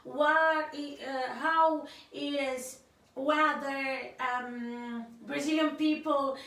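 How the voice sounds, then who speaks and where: A woman's voice: high-pitched, steady tone, very slow, a bit bright. A woman from Africa whose first language is Creole, sharing her opinions in casual talk on a vlog. She speaks hesitantly, searching for words with many fillers and restarts. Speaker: vlogger on her husband's channel. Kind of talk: casual spoken opinion to camera. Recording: very echoey room, voice far from the mic.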